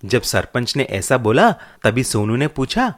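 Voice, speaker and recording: Slow, expressive male story narrator. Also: medium pitch. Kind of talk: scripted children's story narration.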